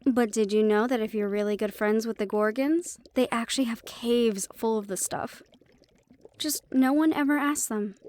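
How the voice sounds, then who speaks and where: A fairly high-pitched female magic shop keeper, acted by a woman in a fantasy audio drama. She explains things helpfully and knowledgeably, with a light teasing edge. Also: normal speed, a little mellow, lightly acted. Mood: explaining. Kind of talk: fantasy audio roleplay acting.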